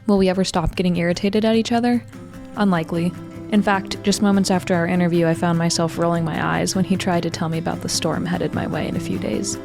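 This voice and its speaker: A woman's voice: lowish voice, steady tone, quick pace, gravelly, over music. A woman reading a scripted first-person personal essay as its writer.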